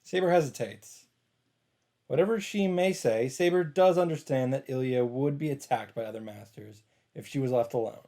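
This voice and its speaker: A man's voice: medium pitch, normal speed, mellow. A man hosting a visual novel playthrough, casually summarizing and reacting to the story and swearing freely.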